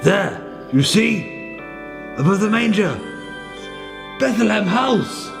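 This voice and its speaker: A man acting as an earnest, alarmed father in a radio play. His voice is heavily creaky and swings widely in pitch, with melodramatic hesitations. It rings in a long hall reverb. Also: sing-song, takes it slowly, full character voice. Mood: grave, urgent. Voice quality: gravelly, creaky. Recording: reverberant church-like hall, music behind.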